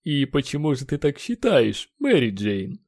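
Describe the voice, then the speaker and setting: A man's voice: medium pitch, sing-song, unhurried, a little mellow, voice pushed up. A man voicing a brash, skeptical male character in an audiobook, with a cheeky, grumbling drawl. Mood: challenging. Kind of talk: acted audiobook dialogue.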